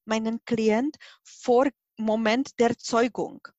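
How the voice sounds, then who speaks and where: A woman's voice: a little high, fairly even intonation, slow, darkish tone, no room sound, muffled recording. A middle-aged Czech woman with a Czech accent gives a personal account as an interview guest, searching for her words with emphasis. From Czech Republic. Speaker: interview guest, hypnosis and regression therapist.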